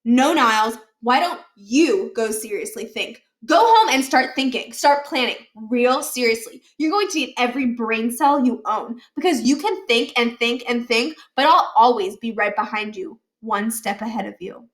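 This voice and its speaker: A woman's voice: fairly high pitch, moderate pace, hardly any pauses, close to an everyday voice. A woman voicing a hot-headed, boastful schoolboy prankster in an indignant, emphatic storybook read-aloud. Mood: defiant.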